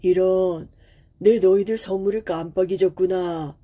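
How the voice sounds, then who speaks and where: A woman's voice: on the low side, steady tone, measured pace, dark tone. A woman voicing a kindly middle-aged village father in a storybook tale, speaking in a hearty, reassuring manner. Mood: dismayed.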